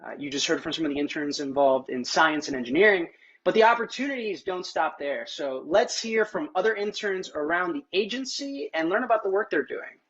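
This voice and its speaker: A man with a fairly high voice works as an interview host. He talks informally and encouragingly to guests and viewers. The audio is slightly dull and lo-fi. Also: lively intonation, brisk, fairly bright tone, husky. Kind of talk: upbeat live interview hosting.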